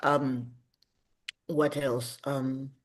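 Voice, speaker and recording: A middle-aged woman, speaking as an author in a casual interview, animated and reflective as she tells stories about her writing. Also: a bass voice, drawn-out words.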